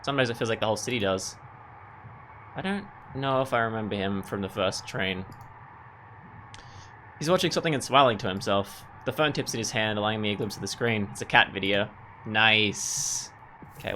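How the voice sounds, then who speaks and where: A man's voice: on the low side, brisk, bright, forceful tone. Man musing over gameplay, noisy background. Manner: musing, thinking aloud. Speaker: gaming video host. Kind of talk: casual let's play commentary. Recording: clear background noise and ambience.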